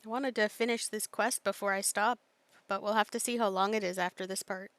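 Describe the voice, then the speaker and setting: A woman's voice: mid-range voice, fairly even intonation, a little fast, fairly bright tone, clean tone, no room sound. A woman giving casual, playful live commentary as she plays a video game, dropping in cutesy little interjections. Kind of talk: casual gameplay commentary, chatting to herself.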